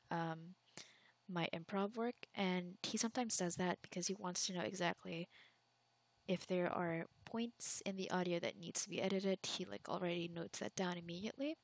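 A woman's voice: lowish voice, gravelly, no room sound. A young woman from the Philippines chatting candidly in a casual Q&A, rambling with frequent fillers and restarts.

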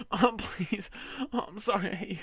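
A man voicing a domineering, possessive husband in an amateur audio roleplay, coarse and swearing, often dropping to a breathy whisper. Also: high-pitched, sharp tone, dry recording, clean studio sound, heavily acted.

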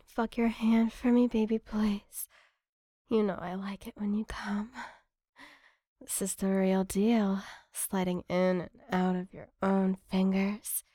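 A woman speaks in a slow, mellow voice, seductive and intimate, as in adult ASMR. She murmurs close to the mic and often slips into a breathy whisper. The sound is very dry, with no room around it. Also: mid-range voice, level tone, no room sound. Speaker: erotic audio performer. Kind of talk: erotic ASMR audio addressed to the listener.

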